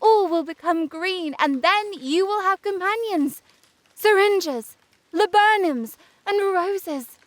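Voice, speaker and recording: A woman's voice: high-pitched, animated, takes it slowly, pauses often, no room sound, pitched above the performer's own voice. A woman voicing adoring, joyful storybook sunbeams, with effusive, lilting exclamations. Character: adoring, bright-spirited sunbeams praising and promising summer.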